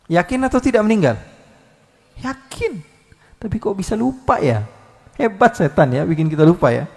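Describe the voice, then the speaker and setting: A male preacher giving a live Islamic sermon, lively, swinging between loud calls and soft asides with many rhetorical questions. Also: high voice, moderate pace, vocal fry, big-room sound. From Indonesia. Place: large hall at a live religious gathering.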